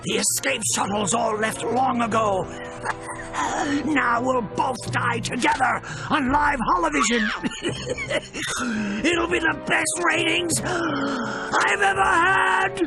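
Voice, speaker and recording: A man voicing a megalomaniac game show villain in a video game, theatrical, gloating and cackling, with loud music under him. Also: extremely high pitch, slow pace, low-quality recording. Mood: manic, gloating.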